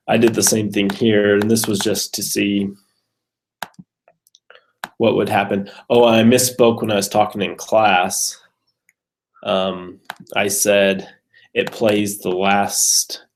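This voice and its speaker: Man, lowish pitch, lots of vocal fry, casual code walkthrough, hesitant, thinking aloud. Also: slight background noise. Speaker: programming student showing code.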